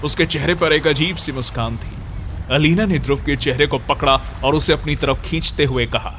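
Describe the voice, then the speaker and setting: A man's voice: fairly high pitch, normal speed, a bit bright, slight background noise. A man narrating a scripted horror story.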